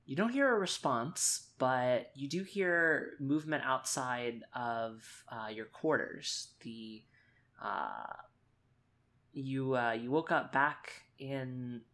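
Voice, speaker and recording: A man's voice: medium pitch, animated, takes it slowly, sharp tone. A man narrating a tabletop roleplaying game as game master, hesitant and searching for words as he improvises.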